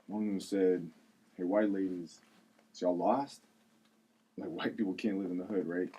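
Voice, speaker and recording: A man's voice: low voice, normal speed, dark tone, husky, muffled recording. A man acting an earnest, protective friend in a table read, confiding in a conversational storytelling way. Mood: reflective, confiding.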